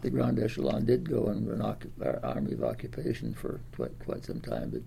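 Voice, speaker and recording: Gravelly ninety-year-old Midwestern American man. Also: lowish voice, very animated, warm tone, slight background noise. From Des Moines, Iowa, United States. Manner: rambling, halting recollection with repeated words. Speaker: interviewee, World War Two veteran. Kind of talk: oral history reminiscence.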